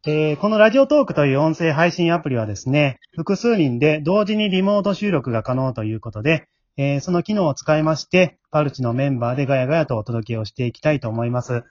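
A man's voice: fairly high pitch, lively intonation, quick pace, hardly any pauses, darkish tone, no room sound, muffled recording. A man hosting a casual chat, polite and explanatory. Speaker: host and scriptwriter of an amateur drama group. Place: at home, remote recording.

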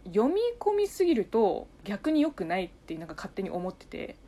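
A young woman, a native Chinese speaker, chatting casually and excitably as a podcast host, with an expressive, giggly manner. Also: mid-range voice, moderate pace. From China. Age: young adult. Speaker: podcast host, announcing student. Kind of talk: casual after-show chat.

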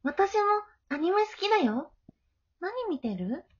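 A woman playing a gentle, studious middle-school girl in an amateur voice drama, friendly and polite. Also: very high voice, very animated, unhurried, dull audio, heavily acted. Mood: friendly, kind.

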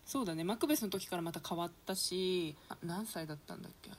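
A woman's voice: lowish voice, fast, slight background noise. A woman hosting a radio show, chatting casually with her guests and giving announcements in an encouraging, chatty way. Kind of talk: casual round-table chat with announcements.

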